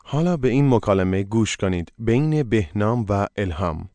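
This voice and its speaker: A man's voice: on the low side, lively intonation, racing, warm tone, no echo. A man narrating a language course, giving short, directive prompts for the listener to repeat. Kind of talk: scripted instruction.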